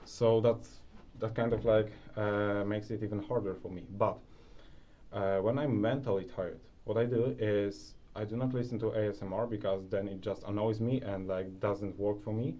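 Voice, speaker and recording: A man's voice: on the low side, fairly even intonation, normal speed, mellow. A young man with a Polish accent, casually sharing advice as a video creator, rambling with lots of restarts and fillers. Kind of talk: casual talking-head advice.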